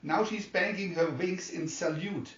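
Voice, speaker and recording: A man voicing an excited male reporter in a read-aloud story, giving awestruck, exclamatory live commentary. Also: high-pitched, unhurried. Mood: excited, awed. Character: excited male broadcast reporter announcing a spectacle. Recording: roomy, distant, slightly dull home recording.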